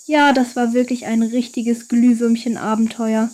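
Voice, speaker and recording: Woman as an enthusiastic, high-voiced young boy. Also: flat delivery, normal speed, darkish tone, lightly acted. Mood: awed. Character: enthusiastic, polite young boy, awed by nature.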